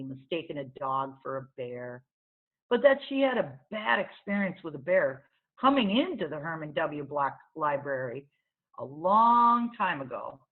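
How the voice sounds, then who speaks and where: A woman reading a children's novel aloud as storyteller, in an expressive narrating style. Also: deep voice, phone-quality sound.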